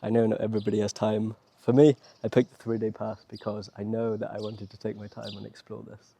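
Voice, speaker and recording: A British man from Wales talking in a relaxed, conversational, appreciative way, like a casual travel vlog. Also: on the low side, a little fast, gravelly, slight background noise. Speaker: travel vlogger. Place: outdoors and inside rock-cut tombs at a desert site.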